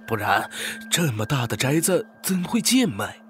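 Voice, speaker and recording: An actor playing a chatty, cautious house broker in an audio drama, telling a ghost story in a confiding tone. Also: male voice, medium pitch, very animated, unhurried, background noise. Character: male house broker Mr. Lin, gossipy and cautious. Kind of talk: Chinese audio drama acting.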